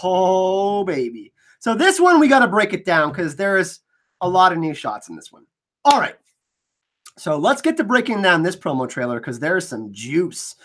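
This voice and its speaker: Excitable man with a slightly scratchy voice. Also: high voice, moderate pace. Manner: excitable, enthusiastic fan. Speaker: fan channel host. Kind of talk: casual trailer breakdown commentary.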